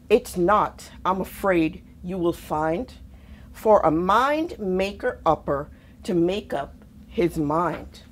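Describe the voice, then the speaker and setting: A woman reads a children's picture book aloud at an unhurried pace. Her pitch moves freely up and down around an average register, and she shapes each phrase expressively, leaving pauses between them. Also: darkish tone. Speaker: storybook reader, army officer.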